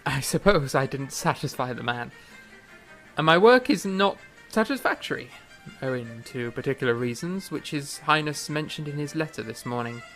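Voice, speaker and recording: Gravelly, indignant young man, acted by a man. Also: medium pitch, lively intonation, normal speed, fairly bright tone, faint music behind. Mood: stiff, restrained. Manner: formal, wordy period speech. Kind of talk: audio drama period acting.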